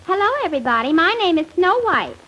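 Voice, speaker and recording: An actress playing a sweet, naive and prim young fairytale heroine who likes to scold, in a 1930s radio comedy. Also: female voice, very high-pitched, big pitch swings, fast, sharp tone, slight background noise, exaggerated voice. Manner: girlish, prim. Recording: muffled 1930s radio recording.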